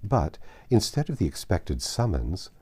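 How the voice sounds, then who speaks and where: A man reads literary narration for an audiobook. His voice is fairly low and mellow, and his intonation rises and falls widely through the sentences. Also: sing-song, unhurried, husky.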